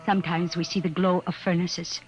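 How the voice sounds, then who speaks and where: An actor playing a fearful native of an alien planet, giving grave warnings in simple formal phrases, in old radio drama style. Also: male voice, high voice, little pitch movement, a little fast, faint music behind, close to an everyday voice. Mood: ominous. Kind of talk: old-time radio science fiction acting. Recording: dull, noisy old radio recording.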